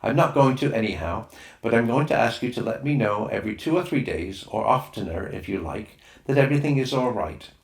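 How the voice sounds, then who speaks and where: A man voicing a kindly, brisk mother who playfully poses as a native in a storybook. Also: lowish voice, expressive, creaky. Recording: roomy, echoing room sound.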